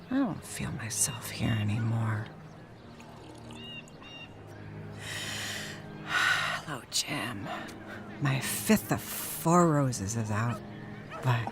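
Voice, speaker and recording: A woman's voice: extremely low pitch, vocal fry, heavily acted. An actress playing a bossy, hard-drinking newspaperman's wife, salty and sardonic, in a period radio comedy-drama. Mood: weary, boozy musing.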